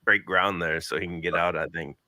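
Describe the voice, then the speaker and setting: A man's voice: low-pitched, steady tone, a little fast, pushed and bright, no room sound, studio quality. A man from Minnesota hosting a stream, chatting in a laid-back, slangy, joking way. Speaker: stream host. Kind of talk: casual chat.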